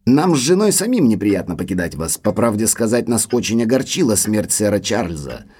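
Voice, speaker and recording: An actor playing a formal, polite manservant of an old manor in an audio drama. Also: male voice, on the low side, sing-song, fairly quick, a little mellow, breathy edge. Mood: sorrowful, grave. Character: deferential, sorrowful manservant butler of an old manor.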